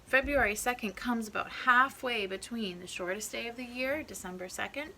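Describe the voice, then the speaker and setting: A woman with a bright, slightly creaky voice reads a picture book aloud as a teacher would for first graders. She is instructive and checks in with her young listeners. There is noise behind her. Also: on the high side, normal speed, rough recording. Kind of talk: children's storybook read-aloud with explanatory asides.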